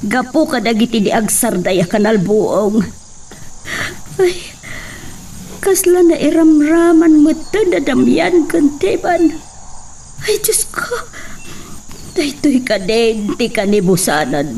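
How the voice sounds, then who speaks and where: A sorrowful woman played by an actress laments slowly in a radio-style drama, her plaintive voice swinging widely in pitch. She sounds distant from the mic, with faint noise. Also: expressive, mellow, heavily acted.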